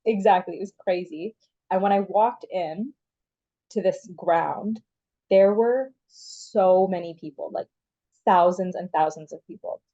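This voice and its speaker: A woman's voice: fairly low pitch, measured pace, dark tone, lo-fi sound. A young woman from Canada, the guest in a casual interview, talking in a chatty way with many "like" fillers. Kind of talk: casual conversation.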